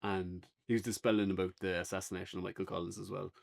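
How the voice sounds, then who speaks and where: A man's voice: low voice, flat delivery, quick pace, gravelly. Casual Irish man chatting. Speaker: podcast co-host reviewing a play. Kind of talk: casual unscripted chat. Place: indoors on a couch, podcast setup.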